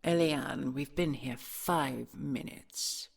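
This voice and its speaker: A woman voicing a proud, possessive dragon queen in an audio roleplay, sardonic and suspicious, speaking in an intimate, husky murmur. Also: rumbling low, faint music behind, exaggerated voice. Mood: haughty, sardonic. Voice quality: breathy, husky. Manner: slow, haughty, intimate murmuring.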